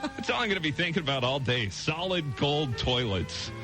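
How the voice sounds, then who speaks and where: A man's voice: fairly high pitch, very animated, normal speed. A man hosting a radio show, bantering casually with callers and co-host in a teasing, opinionated way. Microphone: radio studio microphone. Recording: music behind the voice. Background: music.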